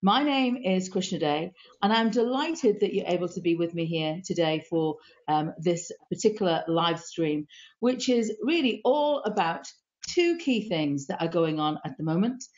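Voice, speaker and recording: A woman with a British accent from the UK, hosting a live stream talk show in a clear, friendly presenter's manner, on lo-fi audio. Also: lowish voice, expressive, normal speed, a little mellow. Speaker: host.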